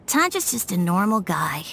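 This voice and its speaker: A woman playing a shy, hesitant little boy lost in a forest in a video game, with a creaky edge to the voice. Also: fairly low pitch, fairly bright tone, slight background noise, heavily acted. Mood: subdued. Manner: hesitant, unhurried. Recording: game music clearly behind the voice. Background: game background music.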